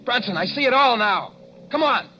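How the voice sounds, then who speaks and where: An actor playing a brisk wartime hero investigator, with urgent, commanding 1940s radio acting. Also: male voice, very high voice, animated. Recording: dull noisy old radio broadcast.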